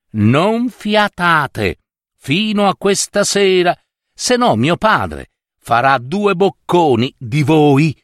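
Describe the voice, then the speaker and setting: A man's voice: a little high, a bit bright, dry recording, studio quality, full character voice. A man voicing an ogre's kind, anxious, wily young daughter in a fairy tale, pleading and coaxing.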